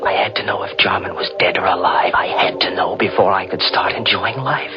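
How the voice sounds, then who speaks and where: A man's voice: deep voice, steady tone, brisk, close to an everyday voice. An actor playing a scheming, paranoid man who believes himself immortal, in brooding, theatrical old-time radio thriller style. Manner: theatrical, brooding, soothing to his sweetheart. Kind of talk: 1940s radio thriller acting with first-person narration. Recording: muffled vintage radio recording with music.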